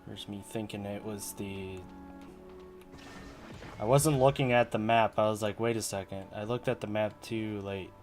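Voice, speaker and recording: A man's voice: lowish voice, steady tone, moderate pace, faint music behind. A man giving casual commentary on a game playthrough, muttering as he thinks aloud. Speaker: game streamer.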